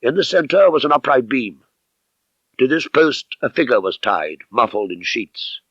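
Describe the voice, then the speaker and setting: A man reading a mystery novel as an audiobook narrator, with measured, precise, dramatic literary delivery. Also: medium pitch, very animated, fairly bright tone, husky, no echo. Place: dry studio. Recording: slightly dull 1970s audiobook recording.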